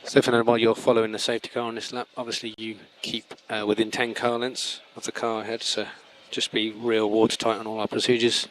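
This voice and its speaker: A man working as a race engineer, giving calm, step-by-step instructions over team radio and repeating key words. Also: fairly low pitch, quick pace, hardly any pauses, slight background noise, low-quality recording.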